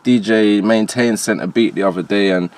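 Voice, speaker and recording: A man with a low, deep voice and a transatlantic accent. He is an interview guest from Jamaica, a rapper who speaks in loose, slangy, conversational phrases. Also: steady tone, normal speed. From Jamaica, later moved to England. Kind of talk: casual interview talk.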